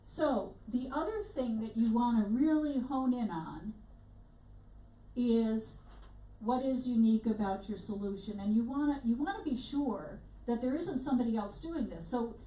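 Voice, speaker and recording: A woman's voice: fairly high pitch, moderate pace, mellow. A woman teaching a workshop, talking conversationally with plenty of fillers and restarts. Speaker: workshop instructor. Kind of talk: informal workshop lecture. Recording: echoey room, mic at a distance.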